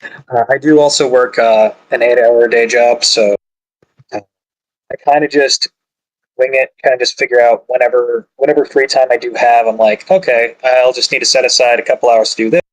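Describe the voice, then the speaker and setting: A man's voice: medium pitch, steady tone, moderate pace, low-quality recording. A man speaking as a podcast guest, hesitant and self-mocking, with frequent ums and restarts. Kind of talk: casual group chat.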